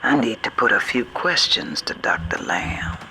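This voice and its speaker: An actor playing a grave, plain-spoken older American, wary and stern, with deliberate folksy phrasing. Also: sits low, expressive, vocal fry, noisy background. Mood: grateful, troubled.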